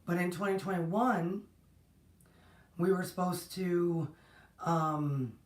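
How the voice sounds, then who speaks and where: Slow-talking woman with strained voice, echoey. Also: lowish voice. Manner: rambling, warm greetings to viewers, many restarts. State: strained, partly lost voice. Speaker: host of a spiritual platform. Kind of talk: casual livestream talk. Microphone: distant mic, no proper setup. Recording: echoey room, voice from far off.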